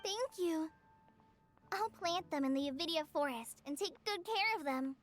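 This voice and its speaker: A woman's voice: very high voice, expressive, moderate pace. A woman voicing a sweet, timid teenage girl in a video game, polite, earnest and hesitant. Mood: grateful, moved. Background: music.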